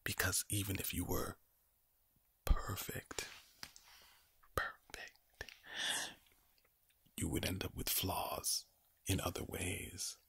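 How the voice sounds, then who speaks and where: A man speaking in a breathy, low ASMR whisper close to the microphone, deliberately repeating words in a soothing yet sinister-sounding way. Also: level tone, a bit bright. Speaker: ASMR performer. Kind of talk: ASMR monologue of whispered and low soft reassurance.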